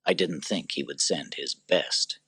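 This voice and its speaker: A man voicing a hardened enslaved general who speaks low, slow, clipped and bitter. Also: bright, forceful tone, breathy edge, no echo, full character voice.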